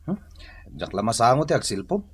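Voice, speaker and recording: Baffled, grumbling husband, animated delivery. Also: male voice, mid-range voice, brisk, breathy edge. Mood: grumbling, confused. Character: bumbling, reluctant husband baffled by his new cellphone. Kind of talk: comedic radio drama acting.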